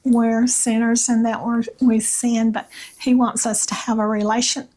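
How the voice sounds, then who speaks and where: A woman with a Southern US accent and a slightly raspy voice, chatting casually about faith in a gentle, halting way with pauses. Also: a little high, flat delivery. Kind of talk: casual devotional chat with read-aloud passages.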